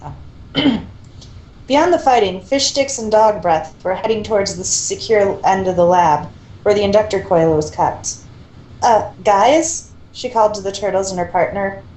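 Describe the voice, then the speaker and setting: A woman's voice: mid-range voice, measured pace, darkish tone. A woman reading a story aloud in an amateur style, with casual asides. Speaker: co-reader of fan fiction. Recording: echoey room with faint noise.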